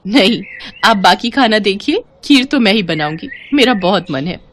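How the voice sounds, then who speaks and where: A woman acts a young daughter-in-law in a cartoon moral tale. She is sweet and coaxing, with a quietly scheming insistence. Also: mid-range voice, animated, moderate pace. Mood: insistent, cheerful. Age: young adult. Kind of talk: animated moral story voice acting.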